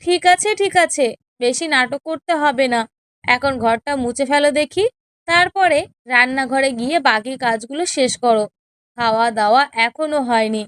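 A woman plays a haughty younger co-wife in a cartoon tale. Her voice is high, smooth and closely dry. She talks down to people in an imperious, sneering, scolding way. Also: moderate pace, a bit bright, no room sound. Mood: scornful, bossy. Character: haughty, spiteful younger co-wife in a village household. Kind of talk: children's cartoon story dialogue.

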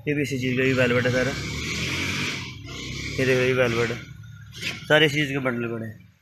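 A man's voice: medium pitch, steady tone, brisk. Young guy selling used clothes on a phone vid, keeps saying look and call, faint hum behind. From Pakistan. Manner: repetitive salesman patter, urging viewers to look and call. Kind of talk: casual sales vlog. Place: small indoor shop full of clothing bundles.

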